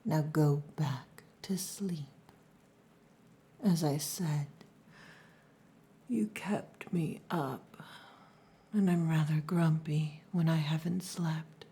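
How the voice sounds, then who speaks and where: Woman playing a slow, low, whispering possessive orc woman. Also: steady tone, full character voice. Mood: tender, firm. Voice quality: breathy, hushed, often whispering. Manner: slow, deliberate, dominant, teasing. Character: possessive, gruff yet tender orc chieftain's daughter. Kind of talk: ASMR roleplay, whispered and softly spoken.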